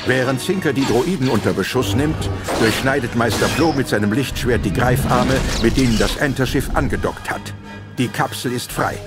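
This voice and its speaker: Ein Mann liest als Erzähler den Text eines dramatischen Hörspiels. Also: male voice, mid-range voice, normal speed, over loud music. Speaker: narrator. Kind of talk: scripted dramatic audio drama narration.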